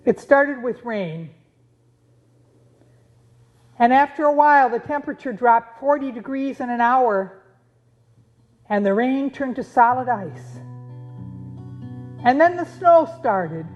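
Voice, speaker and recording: A woman narrating a puppet show as storyteller, with dramatic, deliberate pauses. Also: sits high, lively intonation, darkish tone. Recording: muffled sound, guitar music behind.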